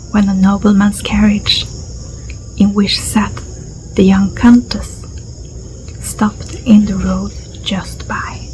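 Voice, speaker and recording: A woman with a Swedish accent, a native Swedish speaker, reading a story as soft-spoken ASMR in a gentle, breathy voice that often drops to a whisper. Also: mid-range voice, low-quality recording. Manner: gentle, unhurried, soothing. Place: outdoors in a garden. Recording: clear outdoor ambience with insect noise. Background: crickets and insects, garden ambience.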